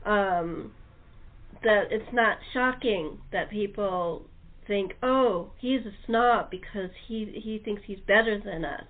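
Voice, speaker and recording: A woman hosting a casual panel chat, talking informally and in a rambling way as she prompts others. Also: medium pitch. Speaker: host and radio-play producer. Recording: phone-like narrow-band sound.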